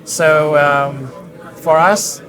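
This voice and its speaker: A man explaining his work as a design director in an interview, in a halting, thoughtful way. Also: fairly high pitch, steady tone, over music, low-quality recording. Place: busy hi-fi trade show hall. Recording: crowd chatter around the voice. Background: crowd chatter.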